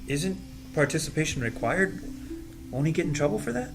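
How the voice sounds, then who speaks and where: A man's voice: a little high, very animated, fairly quick, creaky, music in the background, low-quality recording. A man acting an eager, trusting young man, playful and a little naive, in an audio drama read. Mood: curious, earnest.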